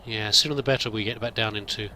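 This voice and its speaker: A man's voice: lowish voice, fairly even intonation, fast, sharp tone, a little hiss or hum. A man roleplaying a pilgrim in a game, musing and thinking aloud in a hesitant, wandering way. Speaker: let's play roleplayer. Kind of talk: in-character game commentary.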